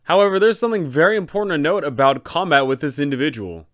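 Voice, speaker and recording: A man's voice: fairly high pitch, fast, a bit bright. A man hosting an explainer for game masters, giving guiding commentary on role-playing. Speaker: host, tabletop game guide.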